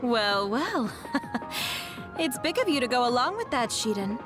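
Easygoing female anime game commander, highish lilting voice, breezy, polite, music behind. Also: very animated, measured pace. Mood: amused. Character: genial, easygoing female military squad commander. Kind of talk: English anime-game voice acting.

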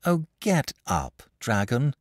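A man's voice: medium pitch, sing-song, slow pace, no room sound, studio quality. A man voicing a tired, aggrieved little boy in a storybook, whining and sobbing piteously. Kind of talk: storybook character voice.

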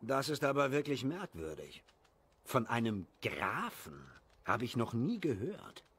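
A man's voice: medium pitch, wildly swooping pitch, unhurried, fairly bright tone, slightly rough, full character voice. A man playing a cautious village neighbour with a polite, questioning manner in an audio drama. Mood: polite, wary.

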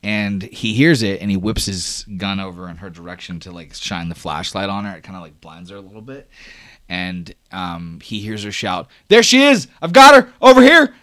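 A man's voice: deep voice, steady tone, normal speed, a bit bright, dry recording, clean studio sound. A man running a tabletop roleplaying game as game master, narrating loosely with many fillers and cursing.

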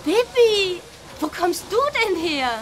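A woman's voice: very high voice, lively intonation, slow pace, slight background noise, heavily acted. A woman playing a spirited, impatient young horse-loving girl in a children's radio play. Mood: surprised, indignant.

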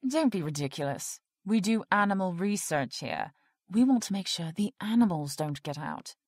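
Prim, lowish-voiced research director. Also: female voice, very animated, moderate pace, no echo, clean studio sound. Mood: officious, defensive. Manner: formal, officious. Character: prim, rule-bound female research project director. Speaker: actor in audio drama. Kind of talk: audio drama dialogue.

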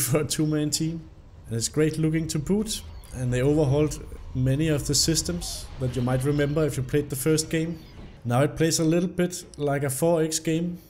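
A young man, slightly hoarse from illness, commenting on a game and reading its story text aloud. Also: fairly high pitch, slight background noise. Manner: reads the story text aloud in an even narrating tone. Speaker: gameplay video host. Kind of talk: casual gameplay commentary.

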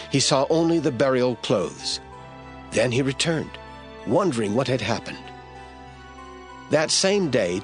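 A man narrating scripture as a scripted audio drama storyteller. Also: mid-range voice.

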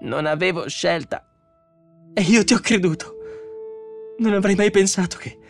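Man acting tense woman scientist, raised pitch, bitter sarcasm, agitated emphasis, near hysteria, audiobook character. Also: normal speed, soft background music, lightly acted. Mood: shocked, anguished.

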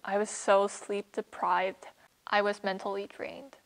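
A young woman gives a candid account of a draining year. Her voice sits at a middle pitch. She speaks frankly, with a weary tone. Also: level tone, measured pace, rough recording. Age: young adult. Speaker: student storyteller. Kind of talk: performed personal monologue. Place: indoor room with wooden screens.

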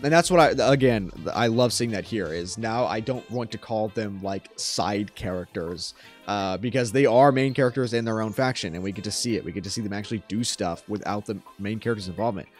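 Young male streamer, lowish register, excitable off-the-cuff rambling commentary over music. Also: fairly quick. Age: young adult. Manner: enthusiastic, rambling. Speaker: streamer reacting to a game trailer. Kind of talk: casual reaction commentary. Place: small room at home. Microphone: close desk microphone.

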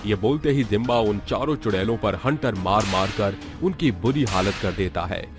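A man's voice: lowish voice, lively intonation, normal speed. A man narrating a horror cartoon story in a dramatic, scripted storytelling style. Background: background music.

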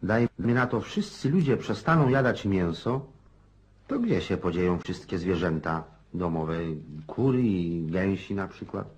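An actor playing a blustering, self-important old countryside landowner in a radio play, rambling and folksy with a husky voice. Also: male voice, sits low, animated, a little slow, heavily acted. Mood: puzzled musing.

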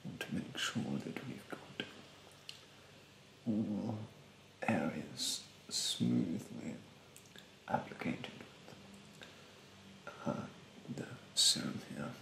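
A young man playing a rude, snobbish, fastidious facial therapist in a soft, half-whispered ASMR roleplay, haughtily polite and hesitant. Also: sits low, expressive, creaky, roomy sound, a little hiss or hum, full character voice, poor audio. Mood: fussy, instructive. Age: young adult. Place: bedroom, indoors. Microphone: close mic in front.

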